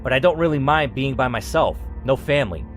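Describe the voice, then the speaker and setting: A man reading aloud as a bitter, reclusive male IT worker in a story game, in a resentful, low-key way. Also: animated, brisk, soft background music, natural voice.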